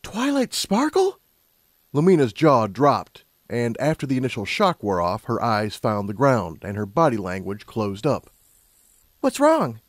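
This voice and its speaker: Animated man narrating fiction, dry recording. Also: lowish voice, normal speed, few pauses, no room sound. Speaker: story narrator. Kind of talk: expressive scripted fiction narration.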